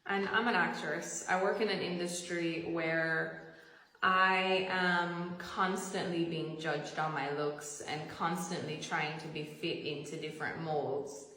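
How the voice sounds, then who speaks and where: A woman's voice: fairly low pitch, steady tone, takes it slowly, pushed and bright, heavy reverb, in a large hall, rough recording. A woman actress speaking earnestly and candidly in a personal vlog.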